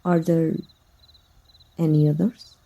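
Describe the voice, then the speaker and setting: A woman playing a jealous, possessive female villain in an intimate ASMR roleplay, teasing in a husky, breathy murmur. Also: low voice, little pitch movement, takes it slowly, warm tone, full character voice. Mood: seductive, smug. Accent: Mexican.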